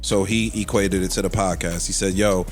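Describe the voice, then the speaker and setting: A man's voice: deep voice, fairly even intonation, normal speed. A man with an American accent talking in a slangy, conversational way on a podcast panel. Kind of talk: casual podcast discussion. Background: faint music.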